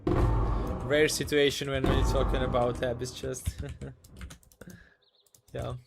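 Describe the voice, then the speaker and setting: A man's voice: mid-range voice, little pitch movement, vocal fry, loud music behind the voice. Man streaming casually. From Ukraine. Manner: halting, restarts and repeats words. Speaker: game streamer. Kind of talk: casual live-stream commentary.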